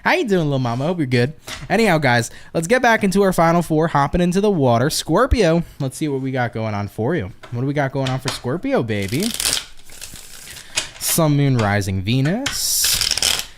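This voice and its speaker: A man's voice: on the high side, big pitch swings, moderate pace, a little hiss or hum. A tired man reading tarot on a casual livestream, chatty and profane, laughing often and answering viewer comments. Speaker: tarot reader hosting a livestream.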